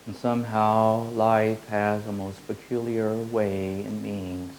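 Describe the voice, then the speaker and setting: Deep, flat-voiced man teaching slowly. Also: slight background noise, poor audio. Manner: repetitive, incantatory phrasing. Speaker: spiritual teacher. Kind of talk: slow meditative spiritual teaching.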